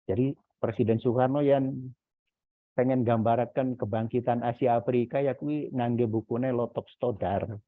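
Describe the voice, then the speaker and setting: A man's voice: mid-range voice, fairly even intonation, normal speed. A man giving informal, didactic feedback as a university lecturer in an online class. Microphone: video call. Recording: echoey, phone-like video-call audio.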